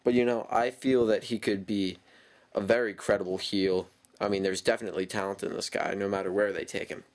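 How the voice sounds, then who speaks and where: A man's voice: on the low side, level tone, fairly quick. Stuffy-nosed man rambling a wrestling review. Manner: rambling, with frequent ums and self-corrections. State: stuffy from a cold. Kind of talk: casual spoken review.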